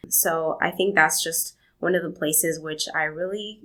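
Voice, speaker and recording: A woman's voice: low-pitched, steady tone, measured pace. A young woman from Argentina, answering interview questions in a rambling, storytelling way. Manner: warm, rambling storytelling with laughs. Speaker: podcast guest.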